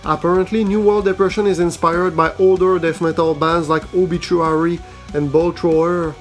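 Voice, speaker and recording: A man hosting a weekly heavy metal release roundup, reading a script in an even, measured way. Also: a little high, soft background music. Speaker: host of a metal news show.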